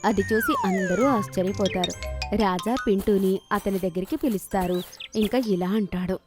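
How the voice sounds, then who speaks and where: A woman's voice: medium pitch, normal speed. A woman reading scripted narration for children's moral stories. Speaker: story narrator. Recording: clear music bed behind narration.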